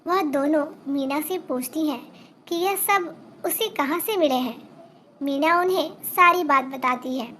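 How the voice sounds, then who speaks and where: High-pitched woman narrating a children's tale. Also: a bit bright, slight background noise. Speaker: storyteller.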